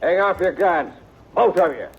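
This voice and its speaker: A man's voice: high voice, animated, normal speed, gravelly. A man playing a menacing outlaw gunman in a 1950s radio western. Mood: threatening. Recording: dull, noisy old radio recording.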